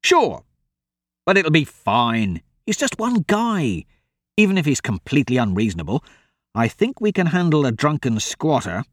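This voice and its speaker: A man's voice: a little high, big pitch swings, moderate pace, dry recording, clean studio sound. A man voicing a good-natured, optimistic adventurer in a fantasy audiobook, earnest and persuasive. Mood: upbeat, persuasive.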